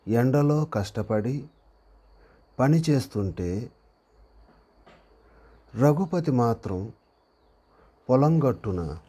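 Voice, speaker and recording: A man reading a moral story aloud as a narrator, deliberately, in short phrases with pauses, as if for dictation. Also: mid-range voice, mellow, breathy edge.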